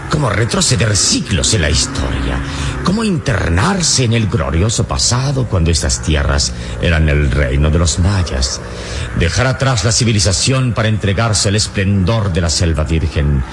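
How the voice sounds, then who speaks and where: Very deep-voiced, solemn adventurer hero. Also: male voice. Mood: rapt, daring. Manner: grandiloquent, measured, courteous. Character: wise, bold adventurer hero, gallant and solemn. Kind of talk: old radio serial acting. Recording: noisy old radio serial, vehicle drone.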